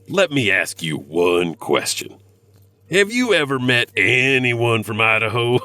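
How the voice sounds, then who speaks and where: A man's voice: fairly low pitch, bright, forceful tone. A man hosting a storytelling podcast, narrating scripted material in a wry, conspiratorial way. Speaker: host and narrator of a horror anthology podcast.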